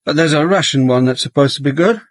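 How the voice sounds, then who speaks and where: An actor playing a cultured police inspector, speaking dryly and reflectively in radio drama dialogue. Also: male voice, fairly high pitch, quick pace, no room sound, natural voice. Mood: wry. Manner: dry, musing.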